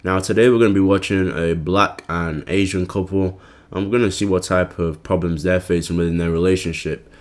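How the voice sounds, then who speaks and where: Male reaction-channel host, low voice, casual commentary addressed to viewers. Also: steady tone, brisk.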